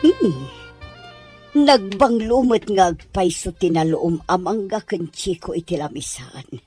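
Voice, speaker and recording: A woman's voice: lowish voice, expressive, measured pace, warm tone, breathy edge, soft background music. A stern scheming mother. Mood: disapproving. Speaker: radio drama actor.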